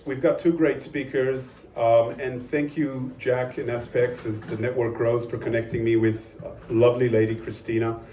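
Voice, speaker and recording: A man's voice: mid-range voice, a little mellow. A man, the meetup organizer, gives an upbeat, informal introduction of the next speaker. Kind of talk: live event introduction. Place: event hall. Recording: echoey hall, distant mic, faint hum.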